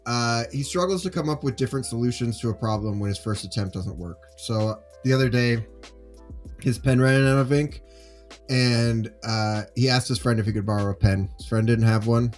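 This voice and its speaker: A man playing a concerned high school teacher who explains things hesitantly in improvised audio drama. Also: moderate pace, soft background music, lightly acted.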